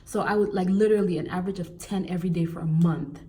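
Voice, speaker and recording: A young woman from Cameroon talks casually to her viewers, chatty and animated with flashes of exasperation. Her voice is mellow and relaxed. She sits a little back from the mic, with a faint noise underneath. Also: lowish voice, fast talker, recorded from a distance. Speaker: vlogger. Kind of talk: casual advice vlog.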